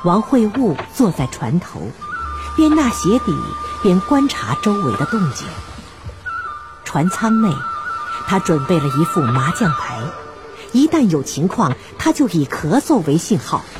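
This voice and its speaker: Female narrator for historical radio drama: measured declamation, animated range, music bed. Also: on the low side.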